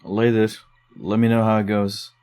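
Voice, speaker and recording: A man acting as a dogged investigator in a psychological horror audio drama. His voice sits low and is very soft-edged and dark. He delivers everything in a subdued, measured, low-key way. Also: flat delivery, smooth, lightly acted. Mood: casual, reassuring. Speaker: investigator digging through case notes.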